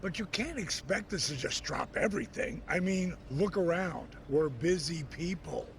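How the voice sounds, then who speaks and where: Cocky old-school wrestler, gravelly high-ish voice, a bit far from mic. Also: male voice, expressive, normal speed. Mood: dismissive. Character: cocky, laid-back male wrestling legend. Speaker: veteran wrestler in a story cutscene. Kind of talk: dramatic game dialogue. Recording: slightly distant, faint background noise.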